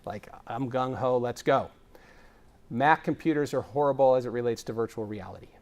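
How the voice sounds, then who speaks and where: A man's voice: mid-range voice, moderate pace. Man giving an eager tech talk. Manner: enthusiastic, explanatory, filler-heavy. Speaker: conference presenter. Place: conference stage.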